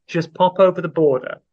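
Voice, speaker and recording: A man's voice: on the high side, steady tone, a little slow, darkish tone, dull audio. A man with a slightly raised voice runs a quiz in casual podcast banter. He teases and jokes like a playful quizmaster. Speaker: podcast co-host running a quiz. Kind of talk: casual comedic chat.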